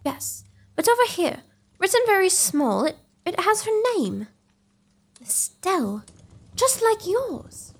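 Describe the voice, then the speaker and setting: Woman acting a high-voiced, melodramatic young lady. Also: sing-song, takes it slowly, heavily acted. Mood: anxious curiosity. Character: excitable young woman, melodramatic, teasingly spooky. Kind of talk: gothic comedy audio drama acting.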